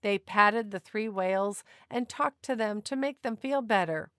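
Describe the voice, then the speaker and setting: A woman narrating a children's picture book aloud in an expressive, deliberate storytelling manner. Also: mid-range voice, clean tone, dry recording, studio quality.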